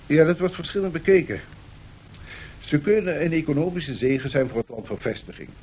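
A learned male professor lectures in a 1970s radio play with a very lively melodic range. He is formal, measured and didactic, weighing each point with caution. The recording carries a faint low rumble and noise. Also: low-quality recording. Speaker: professor answering questions.

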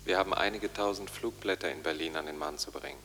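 An actor playing a resolute, hushed underground resistance conspirator remembered in a German radio drama. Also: male voice, low-pitched, fast. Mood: secretive, resolute. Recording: long hollow reverb, faint noise and hum.

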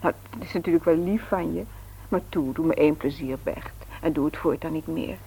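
An actress playing a lively, affectionate young wife in a Dutch radio play, expressive and exclaiming. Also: female voice, low-pitched, fairly quick, creaky. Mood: gently reproachful. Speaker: radio drama actor. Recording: noisy old radio drama recording.

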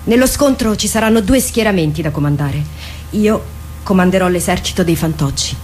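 Attrice che fa una attendente leale, voce bassa. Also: female voice, expressive, a little mellow, faint music behind, natural voice. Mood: respectful. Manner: formal, measured, earnest. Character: loyal, resolute woman military orderly, respectful and dutiful. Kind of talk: theatrical radio drama acting.

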